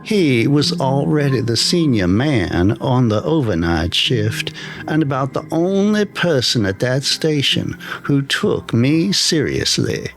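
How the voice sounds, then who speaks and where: A man's voice: mid-range voice, very animated, slow pace, faint music behind. A man voicing a plain-spoken, wistful retired engineer of eighty-one in an audio horror story, in clipped sentences. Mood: fond, reflective.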